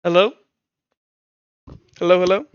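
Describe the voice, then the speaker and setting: A man running a tabletop game over online voice chat as game master, narrating combat and explaining rules in a halting way, often restarting his phrases. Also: high-pitched, steady tone, smooth, dry recording, highs cut off. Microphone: voice call over Discord.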